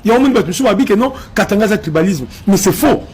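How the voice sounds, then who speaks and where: A man's voice: high-pitched, expressive, fast, darkish tone, slightly rough. A man from Congo with a Congolese French accent giving impassioned political commentary, emphatic and repetitive, slipping in Lingala phrases.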